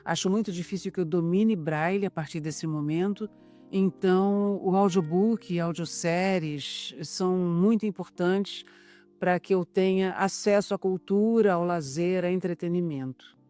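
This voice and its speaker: A Brazilian man in his seventies giving an interview testimonial in a halting way, pausing to search for words. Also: high voice, dark tone, soft background music. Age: 70s.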